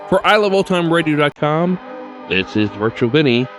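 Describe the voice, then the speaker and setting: A man's voice: a little high, very animated, moderate pace, fairly bright tone, faint music behind. A man hosting a podcast in a scripted narration style. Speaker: podcast host.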